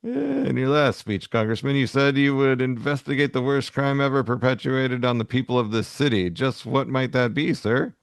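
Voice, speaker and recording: A man's voice: mid-range voice, animated, moderate pace, creaky, hardly any pauses, clear, resonant tone, dry recording, clean studio sound. A man co-hosting a podcast in an easygoing way, chatting casually and reading comic lines aloud. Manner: easygoing, chuckles often. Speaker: podcast co-host.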